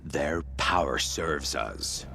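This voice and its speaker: An actor playing a menacing zealot villain who commands machines in a video game, ominous and declamatory. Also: male voice, extremely low pitch, lively intonation, slow pace, vocal fry, sharp tone, a little hiss or hum, full character voice. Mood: menacing, exultant.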